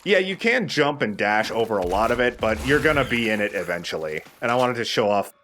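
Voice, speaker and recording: A man's voice: mid-range voice, big pitch swings, brisk, a bit bright, a little hiss or hum. A man giving casual, opinionated commentary over a video game, explaining how it works as he goes. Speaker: host of a video game let's play.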